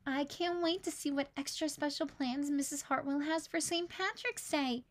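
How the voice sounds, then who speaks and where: A woman reading a children's picture book aloud in an expressive storytelling style. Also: high voice, moderate pace.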